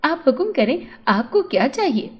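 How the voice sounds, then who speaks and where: Storybook shopkeeper, male played by a woman: high-pitched, courteous, deferential, honest, eager to please. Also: very animated, normal speed. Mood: polite, obliging.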